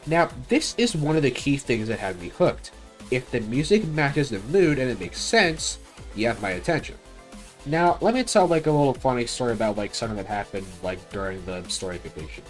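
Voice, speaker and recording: A man delivers an opinionated video game review in a conversational, blunt style with casual swearing. His pitch sits in the middle for a man and swings around in an animated way. Faint music plays behind his voice. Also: a little fast.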